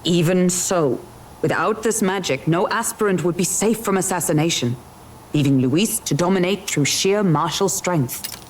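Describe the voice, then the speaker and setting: A woman playing a grave, composed strategist in a video game, speaking formally in measured, archaic phrases. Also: fairly low pitch, a little hiss or hum, close to an everyday voice, low-quality recording. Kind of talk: dramatic video game voice acting.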